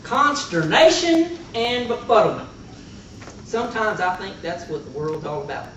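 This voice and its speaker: A man's voice: high-pitched, big pitch swings, normal speed, a bit bright. Folksy male storyteller in an echoey room. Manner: folksy country storyteller with rustic slang. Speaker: contest speaker telling a tall tale. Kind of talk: comic storytelling to a live club audience. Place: meeting room before an audience. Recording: echoey, noisy room recording from far off.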